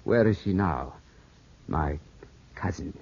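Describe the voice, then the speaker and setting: A man's voice: low voice, expressive, takes it slowly, creaky, darkish tone, heavily acted. An actor playing a grieving, suspicious young Englishman in his twenties, clipped and intense, in 1950s radio drama style. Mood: accusing, bitter. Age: 20s. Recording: muffled, scratchy 1950s radio broadcast.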